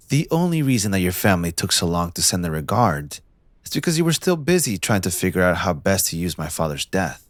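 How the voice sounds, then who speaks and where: A man playing a possessive, manipulative young mafia heir in an audio roleplay, condescending and smoothly taunting with theatrical politeness. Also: on the low side, dry recording. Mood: cold, accusing. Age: young adult. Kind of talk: scripted ASMR audio roleplay addressed to the listener.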